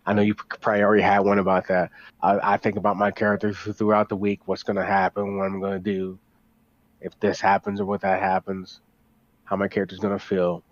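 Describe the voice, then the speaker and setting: Man, lowish voice, eager halting chat, repeats himself, dull lo-fi microphone. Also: completely flat delivery, dry recording. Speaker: podcast guest. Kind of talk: casual chat.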